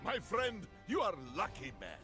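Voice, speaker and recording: An actor playing a big, jolly, warm-hearted video game strongman, speaking of himself in the third person. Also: high voice, very animated, normal speed. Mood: warm, hearty. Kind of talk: video game character voice lines. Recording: music behind game voice clips.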